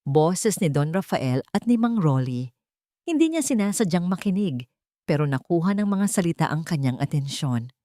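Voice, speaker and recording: A woman's voice: on the low side, very animated, moderate pace, dark tone, no room sound, studio quality. A woman reading a scripted romance story as narrator, with dramatic storytelling delivery.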